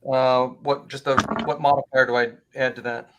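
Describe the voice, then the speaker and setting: A man's voice: mid-range voice, level tone, normal speed, dull audio, noisy background. A man casually describing his moves as a player in a tabletop game.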